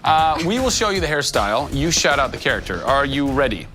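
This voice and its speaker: A male co-host trades wry jokes with a lively, swooping delivery. Music plays steadily underneath his voice. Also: mid-range voice, brisk, low-quality recording. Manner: joking, wry. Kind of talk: scripted playful banter.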